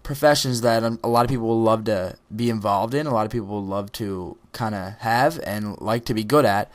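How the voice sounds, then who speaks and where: A man's voice: on the low side, brisk. A teenage boy giving a casual, rambling motivational talk over gameplay footage, with many ums and restarts. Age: teens. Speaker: gaming video creator.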